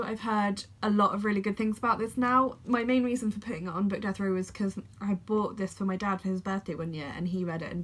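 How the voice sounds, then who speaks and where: A young woman sounding blocked up and snuffly from a bad cold. She chats at an easy pace in a mid-pitched voice, rambling and correcting herself as she goes. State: congested with a head cold, snuffly. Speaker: book vlogger. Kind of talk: casual talk to camera.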